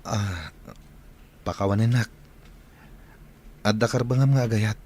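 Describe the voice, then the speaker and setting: A man acting an excitable, apologetic young village son in an Ilocano radio drama, with an Ilocano accent and an emotive delivery. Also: lowish voice, a little slow, slight background noise, natural voice. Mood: regretful, earnest.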